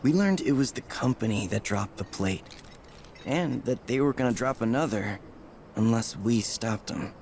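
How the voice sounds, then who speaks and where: A man's voice: medium pitch, animated, normal speed, a little mellow, slight background noise, lightly acted. Man playing an earnest, sober rebel volunteer. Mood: somber, resolute. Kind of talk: dramatic video game narration. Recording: music behind the voice.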